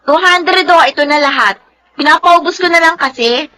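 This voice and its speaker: A woman playing a feisty, coy young fish vendor in a Filipino radio drama, animated and protesting, with a Filipino accent. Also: sits high, moderate pace. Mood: eager hawking.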